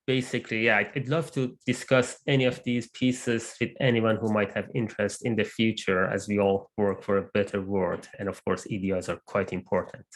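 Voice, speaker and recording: A man who is not a native English speaker, presenting his research group's work in an online meeting, measured and with frequent fillers. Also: lowish voice. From immigrant to Canada. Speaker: research lab lead presenting. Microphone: computer mic on video call.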